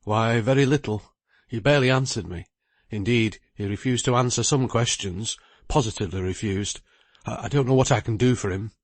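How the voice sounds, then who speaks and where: A man's voice: mid-range voice, dry recording, voice pushed up, natural voice. A man voicing a busy middle-aged lawyer who speaks in a precise, emphatic way. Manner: precise, emphatic, talks quickly.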